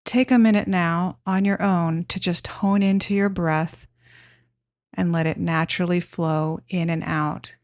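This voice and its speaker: A woman leading a guided meditation with gentle, unhurried, simple instructions. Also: fairly low pitch, steady tone, dry recording, studio quality.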